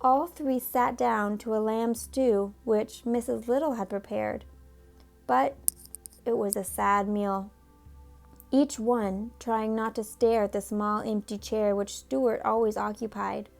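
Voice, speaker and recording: A woman reading a children's book aloud in a measured way, stopping now and then to talk about the pictures. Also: mid-range voice, fairly even intonation, creaky, faint music behind. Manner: measured, pausing to comment on the pictures. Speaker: storybook reader.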